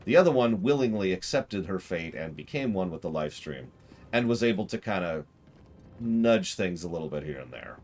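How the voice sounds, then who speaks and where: A man's voice: low voice, animated, moderate pace, darkish tone. A man doing casual live stream commentary on a game, explaining his ideas at length and thinking aloud. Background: game music.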